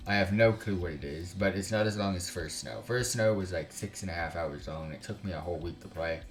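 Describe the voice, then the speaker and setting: A man's voice: sits low, a little fast. A man hosting a casual gaming live stream, chatting informally to viewers with slang and swearing. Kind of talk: casual live-stream chat with game text read aloud. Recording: roomy room echo, music behind. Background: music.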